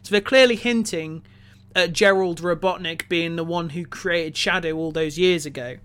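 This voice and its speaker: A man co-hosting a review podcast, talking casually and analytically, often rephrasing haltingly as he thinks aloud. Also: on the high side, a bit bright, clean tone. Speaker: podcast co-host reviewing a film.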